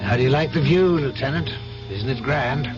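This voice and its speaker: An actor playing a genial, elderly priest in a radio drama. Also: male voice, medium pitch, animated, moderate pace, close to an everyday voice. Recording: dull old radio broadcast under loud music.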